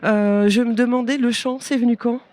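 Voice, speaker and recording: A woman's voice: a little high, steady tone, normal speed, a little mellow. Giggly, hesitant woman radio interviewer. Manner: giggly, laughs between phrases.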